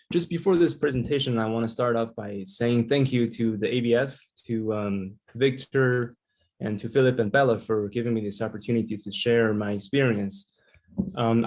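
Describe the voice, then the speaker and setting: A man's voice: medium pitch, darkish tone. Hesitant young man presenting on a call. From China. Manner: hesitant, lots of ums and uhs. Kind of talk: online presentation. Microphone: video call.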